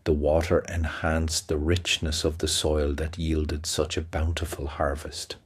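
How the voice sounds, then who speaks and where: A man narrating a fantasy audio play as a storyteller, with a measured, even delivery. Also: very deep voice, breathy edge, no room sound. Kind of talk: scripted fairy-tale narration.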